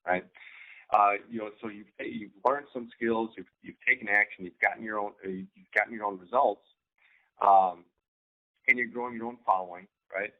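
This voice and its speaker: A man co-hosting an informal instructional talk as a business coach, speaking haltingly with repeated words and frequent "right?" check-ins. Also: fairly low pitch, fairly even intonation. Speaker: co-host, online business coach. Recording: thin, phone-like band-limited sound.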